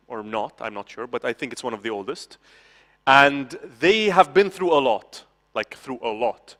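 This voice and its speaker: A man gives a conference talk in a casual, chatty way. He checks in with the audience and restarts his sentences often. His voice sits at a middle pitch, and a long hall reverb trails behind it. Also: a bit bright. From Lebanon. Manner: conversational, frequent "okay?" asides and restarts. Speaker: conference speaker.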